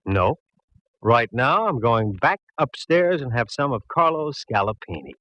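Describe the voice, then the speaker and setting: Casting: unbothered, sarcastic police inspector, 1940s radio, very lively inflection. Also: male voice, medium pitch, measured pace, highs cut off. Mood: dismissive. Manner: dry, dismissive, sarcastic. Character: gruff, sardonic male police inspector, unbothered and teasing. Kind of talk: 1940s radio drama acting.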